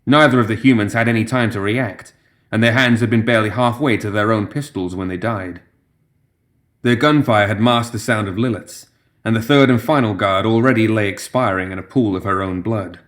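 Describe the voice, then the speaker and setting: A man's voice: fairly low pitch, moderate pace, a bit bright. A man reading a story as a narrator, in a scripted dramatic style. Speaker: audio fiction narrator.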